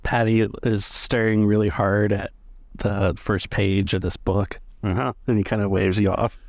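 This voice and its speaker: A man acting as game master, narrating an improvised tabletop game in measured phrases with pauses between them. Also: lowish voice, level tone, creaky, no room sound, clean studio sound. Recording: music bed behind the voice.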